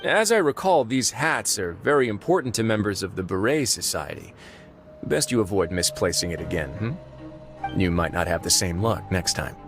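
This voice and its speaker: A calm, confident male prison warden, relaxed and wry. His voice sits fairly low and easy. Faint game music runs behind. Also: normal speed. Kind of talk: video game voice acting.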